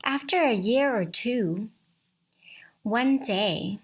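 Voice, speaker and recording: Slow, higher-voiced woman storyteller. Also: lively intonation, slightly rough. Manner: deliberate, short phrases with pauses. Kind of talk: bedtime story narration, simple and unhurried.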